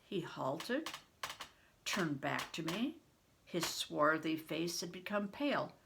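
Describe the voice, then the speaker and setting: An older woman reading a children's novel aloud in an expressive storytelling manner. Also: low voice, slow, creaky, noisy background. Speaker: reader.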